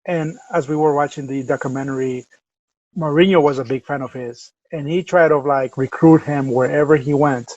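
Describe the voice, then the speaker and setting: A man's voice: fairly high pitch, fairly even intonation, moderate pace, a little mellow, dry recording, highs cut off. A man with a Salvadoran accent co-hosting a podcast, chatting easygoing and rambling with lots of fillers. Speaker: podcast co-host. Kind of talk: casual chat.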